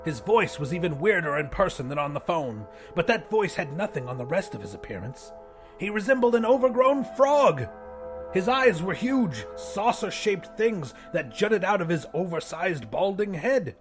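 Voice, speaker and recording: A man narrating first-person fiction in a dramatic, expressive, scripted audiobook style. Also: high-pitched, moderate pace, over music.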